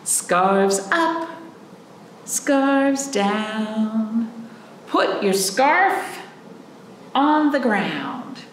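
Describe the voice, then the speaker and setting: A woman's voice: a little high, big pitch swings, drawn-out words, pauses often. A woman in her forties hosting storytime like a children's librarian, talking and reading to young children with simple, encouraging questions, recorded indoors a little way from the camera. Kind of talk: children's storytime talk and picture-book read-aloud. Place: small indoor room, camera a few feet away. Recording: roomy echo, faint background noise.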